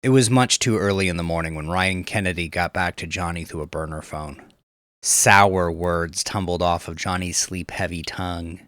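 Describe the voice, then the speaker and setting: Very deep-voiced male crime narrator. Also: moderate pace, a bit bright, no echo, studio quality. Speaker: audiobook narrator. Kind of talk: scripted narration of crime fiction.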